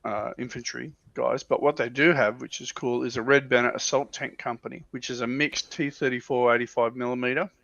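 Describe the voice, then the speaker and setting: A man's voice: medium pitch, steady tone, fairly quick, creaky, hardly any pauses, fairly bright tone, no room sound. A man co-hosting a livestream, casually explaining tabletop game units as an informal, somewhat hesitant enthusiast on slightly dull audio. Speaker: livestream co-host.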